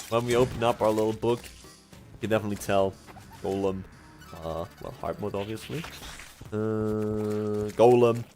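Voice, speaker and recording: A young Swiss man, a German speaker, chatting casually while streaming a game, often laughing and correcting himself. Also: mid-range voice. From Switzerland. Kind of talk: casual gameplay livestream commentary.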